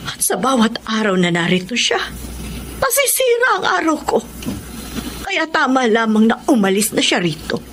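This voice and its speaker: A woman's voice: on the high side, big pitch swings, normal speed, fairly bright tone, slight background noise. An actress with a Filipino accent playing a resentful, disapproving older mother in a radio drama, emphatic and scolding. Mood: bitter, resentful. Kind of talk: Tagalog radio drama acting.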